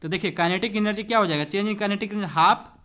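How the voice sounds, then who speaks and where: A man's voice: high-pitched, very fast, bright, forceful tone. A man teaching an exam-prep physics lesson, explaining conversationally with rhetorical questions to students and mixing in English terms. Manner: switches between Hindi and English terms.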